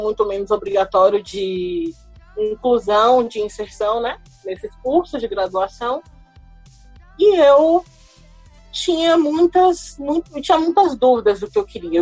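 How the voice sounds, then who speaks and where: A woman's voice: mid-range voice, a little mellow, no echo. A woman in her thirties from Salvador, Brazil, a lawyer telling her own story on a podcast, thoughtful and hesitant with many fillers and restarts. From Salvador, Bahia, Brazil. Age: 30s. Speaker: guest lawyer. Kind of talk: podcast interview, personal story.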